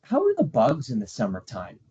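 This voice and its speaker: A man's voice: mid-range voice, huge pitch swings, brisk, warm tone, slightly rough. Male host, cheerful promotional tone, slightly muffled video call audio. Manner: upbeat, polite, promotional. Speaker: webinar host. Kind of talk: hosting a travel webinar.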